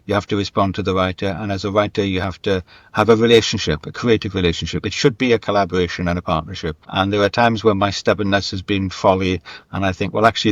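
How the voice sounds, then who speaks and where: A man's voice: on the low side, no room sound. A mature man telling anecdotes conversationally as an interview guest, acting out bits of dialogue and repeating words for emphasis, on slightly muffled audio. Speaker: writer and script editor interviewed as guest.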